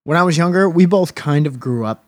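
A man's voice: fairly high pitch, animated, a little fast, no room sound. A man co-hosting a podcast, chatting casually in a playful, rambling way and swearing now and then. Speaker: podcast co-host. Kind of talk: casual banter.

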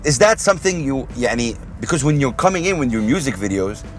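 A man's voice: mid-range voice, sing-song, quick pace, faint music behind. A man interviewing a guest in a friendly, rambling way, slipping Arabic words into his questions. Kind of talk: casual interview talk.

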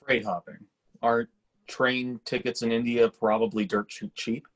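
A man's voice: medium pitch, little pitch movement, normal speed, natural voice, poor audio. A man acting a sceptical, blunt grown son in a staged screenplay reading, speaking in a dry, matter-of-fact way. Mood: sceptical, sardonic.

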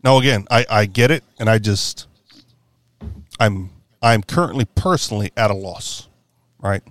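A man's voice: lowish voice, moderate pace, a bit bright. A man hosting a podcast in casual political chat, rambling and correcting himself with a wry tone. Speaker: podcast host.

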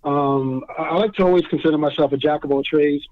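A man's voice: a little high, steady tone, quick pace, dry recording. A man from New York with a Dominican family background, a podcast guest talking casually with many fillers and restarts. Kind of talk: casual interview chat. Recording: tinny phone-like line.

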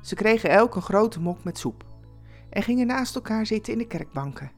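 A woman's voice: mid-range voice, lively intonation, moderate pace, darkish tone, soft background music. A woman storyteller reading a scripted Christmas tale as dramatic narration.

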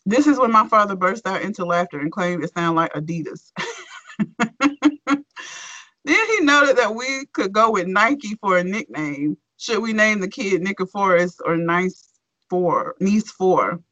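Bright-toned woman reading a post aloud. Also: medium pitch, normal speed, dry recording, low-quality recording. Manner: expressive, swearing, animated rant. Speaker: podcast co-host. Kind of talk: reading a forum post aloud, then ranting.

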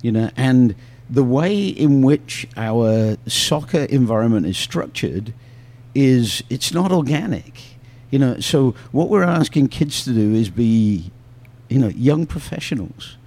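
A man's voice: mid-range voice, animated, measured pace, few pauses, mellow. A man, a youth soccer coach, talking reflectively in a casual podcast with many fillers. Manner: reflective, joking, filler-heavy.

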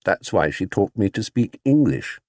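A man voicing a small, young male bear who speaks clearly, politely and with proud earnestness, as in a children's storybook. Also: lowish voice, big pitch swings, normal speed, darkish tone, no echo, studio quality. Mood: earnest, matter-of-fact.

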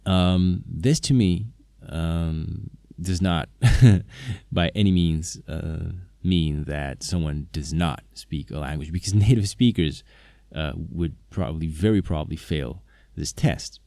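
A man whose first language is Slovak speaks to his viewers in a low, mellow voice. He hesitates often, restarting phrases and filling gaps with "um." The recording is dry and close, with no room sound. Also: slow, vocal fry, no echo. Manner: hesitant, many fillers and restarts. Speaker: polyglot video maker, interpreter. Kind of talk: casual talk to camera.

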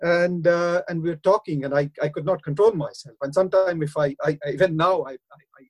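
A middle-aged man with an Indian accent, speaking earnestly and haltingly in a radio interview, with frequent hesitations. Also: a little high, fairly even intonation, a little mellow. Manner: earnest, hesitant, many uh fillers. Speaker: councillor and university lecturer.

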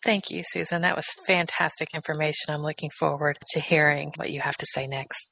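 A woman's voice: sits low, steady tone, a little fast, gravelly, bright, forceful tone, no echo, poor audio. A woman radio host thanking her guest and announcing the next show in a polite, gracious way.

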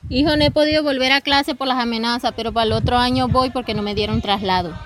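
Woman as worried village teacher in a radio play, kinda high earnest voice, music under it. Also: fairly even intonation, quick pace, close to an everyday voice. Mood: apologetic. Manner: anxious, earnest. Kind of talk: amateur radio drama acting.

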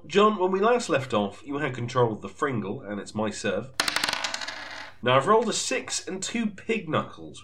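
Male comedy podcast host, mock-serious, deadpan wording, bright forceful tone, lively intonation. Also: mid-range voice. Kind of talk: mock-serious sketch presenting.

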